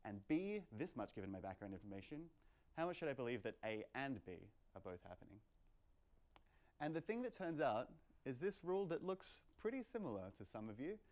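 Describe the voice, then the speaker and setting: A man's voice: medium pitch, darkish tone. A young man presenting informally as a lecturer, explaining emphatically and posing rhetorical questions. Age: young adult. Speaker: lecturer at a meetup. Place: lecture room, indoors.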